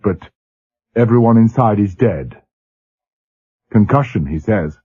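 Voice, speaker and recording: A man's voice: fairly low pitch, dark tone. A man voicing an older male ship's captain, worn but commanding, giving clipped, urgent orders. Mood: grave. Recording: dull lo-fi recording.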